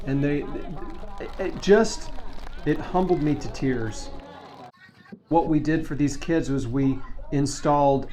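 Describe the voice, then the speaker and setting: A man's voice: fairly high pitch, darkish tone, slight background noise, poor audio. A man talking casually to camera as a vlogger making a charity appeal, with an informal, enthusiastic and earnest manner. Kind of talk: casual talk to camera, fundraising pitch.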